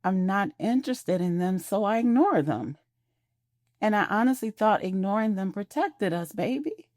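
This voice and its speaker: A woman's voice: medium pitch, animated, moderate pace, a little mellow, no room sound, voice pushed up, lightly acted. A woman voicing a middle-aged husband, pleading at first and then firm, recorded dry and close. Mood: earnest.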